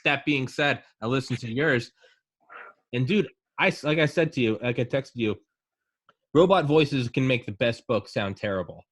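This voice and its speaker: A man's voice: mid-range voice, moderate pace. A man in his thirties hosting a podcast, talking casually and enthusiastically in a rambling way, full of "like" and "man". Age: 30s. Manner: rambling and enthusiastic, many likes and mans. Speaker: podcast host.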